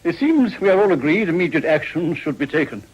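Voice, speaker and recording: Authoritative, decisive chairman figure for period radio drama; husky, lightly raised pitch, formal courtesy. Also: very animated, a little fast, highs cut off. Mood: official. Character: courteous, authoritative senior official chairing a meeting.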